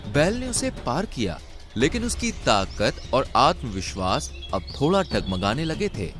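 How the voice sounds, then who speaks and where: Guy narrating a kids cartoon story, very expressive, dramatic, normal pitch. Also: a little slow, music in the background.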